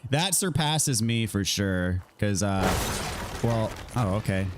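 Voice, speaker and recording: A man with an American accent chatting casually to viewers while he plays a video game, his voice a little raspy from a scratchy throat. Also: fairly low pitch, lively intonation, slow. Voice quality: slightly raspy. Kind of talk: casual livestream chat answering viewers while gaming.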